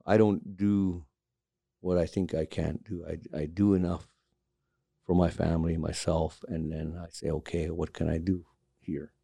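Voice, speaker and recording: A man from Alaska, a carver and studio owner, speaking reflectively in a documentary interview, pausing often with fillers. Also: low voice, flat delivery, vocal fry, dark tone, slightly rough, no echo. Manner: reflective, halting with many fillers.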